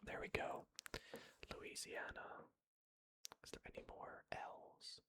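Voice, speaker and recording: An ASMR creator whispering softly and hesitantly while typing, muttering and correcting themselves as they go. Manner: soft unvoiced whisper, slow and hesitant. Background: keyboard typing.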